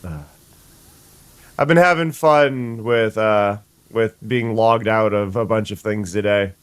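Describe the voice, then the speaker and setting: A young adult streamer, sniffly today, chatting casually with viewers and restarting sentences often. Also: male voice, on the low side, slow, clear, resonant tone, dry recording. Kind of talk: casual gameplay chat.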